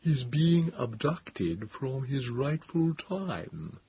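A man voicing a slow, solemn male Morlock in a storybook reading, speaking in a measured, grave way. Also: mid-range voice, sing-song, breathy edge, pitched above the performer's own voice, full character voice. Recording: dull, dry lo-fi sound.

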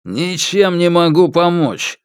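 A man narrator plays a shifty, defensive father of a household in an audiobook. He sounds indignant and evasive, with lively pitch in a dry, close recording. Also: slow pace, clear, resonant tone, dry recording. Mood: dismissive. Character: defensive, shifty, callous family man under accusation. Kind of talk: audiobook character acting.

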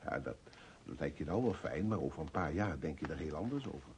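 A man's voice: rumbling low, sing-song, fairly quick, gravelly, a little mellow, full character voice. An actor playing a reluctant, evasive father in a radio play, speaking hesitantly. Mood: evasive, weary. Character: reluctant, evasive father wanting peace to read. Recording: faint hiss, old radio recording.

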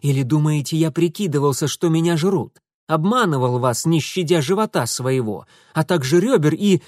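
A man voicing a witty, sarcastic young gentleman investigator with a mocking tone. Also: fairly high pitch, expressive, normal speed, dry recording, clean studio sound, voice pushed up, lightly acted.

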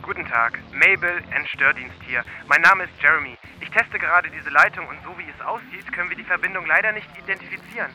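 Ein Schauspieler spielt in einem deutschen Hörspiel einen neugierigen Telefonhacker, der sich als Techniker ausgibt. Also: male voice, fairly high pitch, fast talker, hardly any pauses, pushed and bright, low-quality recording. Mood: bluffing, insistent. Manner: hesitant, then bluffing officiously. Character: nosy male phone hacker posing as a line technician. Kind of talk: German radio drama acting. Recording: music behind the voice.

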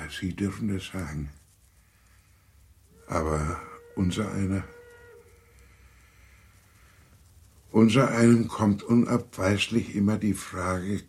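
An actor playing a courteous, effusive gentleman with a formal, gallant manner in a German radio drama. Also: low-pitched, steady tone, a little slow, creaky, breathy edge, exaggerated voice. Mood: wry. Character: courteous, effusive gentleman proudly showing off his view.

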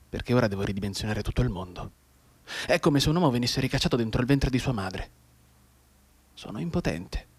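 An actor playing a maimed, despairing young soldier in a radio drama monologue, brooding and halting, moving between hushed and agitated delivery. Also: male voice, medium pitch, slightly rough.

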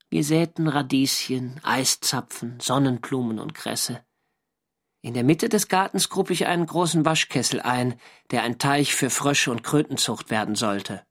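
A wartime navy diarist, mid-pitched. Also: male voice. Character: First World War navy diarist recounting his service. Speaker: narrator. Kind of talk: literary radio drama diary narration.

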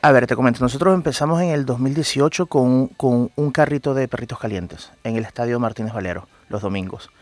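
A man speaks as a guest in a radio interview. He explains earnestly, often pausing on fillers, and his voice sits at a middle pitch. The sound is dry and close. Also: a little mellow, dry recording. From Venezuela. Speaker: guest entrepreneur.

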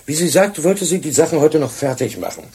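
An actor playing a diligent, deferential police assistant with dry humour in a German radio crime drama. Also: male voice, on the high side, lively intonation, brisk, dark tone, slightly rough, full character voice. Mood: dutiful, eager.

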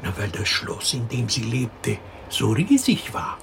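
Slow, expressive, creaky-voiced fairy-tale storyteller. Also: mid-range voice, exaggerated voice. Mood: patient storytelling. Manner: slow, patient, expressive, explaining to a child. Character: storyteller telling a child a princess fairy tale. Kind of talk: radio drama fairy-tale telling. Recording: noticeable background noise.

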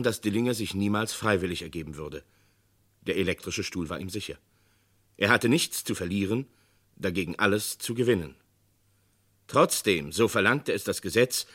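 A man narrating a 1960s radio crime play in a measured, documentary tone. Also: fairly low pitch, animated, a bit bright. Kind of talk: scripted radio drama narration.

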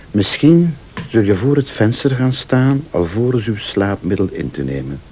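An actor playing a shrewd, insistent accuser in a radio thriller, speaking formally and with deliberate insinuation. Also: male voice, low voice, a little mellow, slight background noise. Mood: ominous, hushed. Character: male late-night accuser, shrewd, insistent, laying out a murder plot. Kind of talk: Dutch-language radio thriller acting.

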